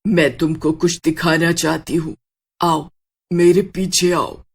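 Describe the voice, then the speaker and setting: Ghostly witch woman, played by a female narrator, solemn, ominous, deliberate, horror storybook style. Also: low-pitched, steady tone, husky, exaggerated voice. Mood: eerie, beckoning. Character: ghostly witch woman, eerie, solemn, helping from beyond death. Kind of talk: Hindi horror storybook voicing.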